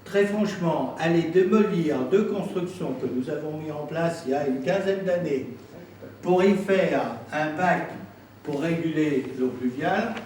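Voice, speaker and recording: A man speaking as a council president, explaining himself in an insistent, deliberate way at a public meeting. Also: on the high side, darkish tone. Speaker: president of an intercommunal council answering questions. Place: indoor council meeting room. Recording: very echoey room, distant mic, faint noise.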